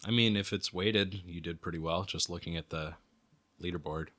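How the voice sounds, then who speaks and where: Man, low pitch, bright sharp tone, quizmaster role, easygoing, casual podcast chat. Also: moderate pace. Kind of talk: casual chat, reading quiz questions aloud.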